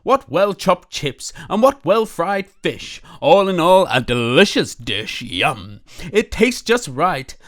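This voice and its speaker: A woman voicing a fussy, hungry, commanding male king with slow, emphatic, bossy delivery in a children's storybook. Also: on the low side, big pitch swings, pitched above the performer's own voice. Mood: delighted.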